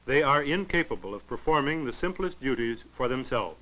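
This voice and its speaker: A man narrating a 1940s educational film in a formal, clinical, measured way. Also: medium pitch, expressive, a bit bright, rough recording.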